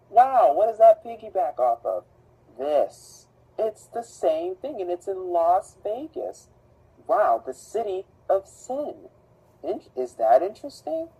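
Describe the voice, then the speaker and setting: A woman's voice: low-pitched, warm tone, slightly rough, rough recording. A woman narrating a video with dramatic rhetorical questions and swooping emphasis.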